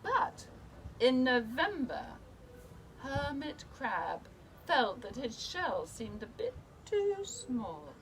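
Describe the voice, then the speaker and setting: A woman storyteller reading a picture book aloud, with deliberate, dramatic phrasing for young children. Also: sits high, very animated, a little hiss or hum, rough recording.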